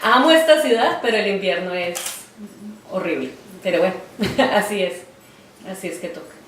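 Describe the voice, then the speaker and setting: A Colombian woman in her forties speaks reflectively, pausing often on fillers as she recalls her life. She is heard from a distance in a roomy space with a faint hiss behind her. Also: medium pitch. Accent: Colombian Spanish. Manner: reflective, halting, with many fillers. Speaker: interviewee, artist. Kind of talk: oral history interview.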